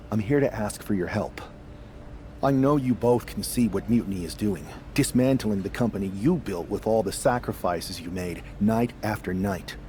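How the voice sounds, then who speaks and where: A man's voice: on the low side, mellow, a little hiss or hum, lightly acted. An actor voicing an earnest young male wrestler asking legends for help in a game cutscene. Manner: earnest, pleading.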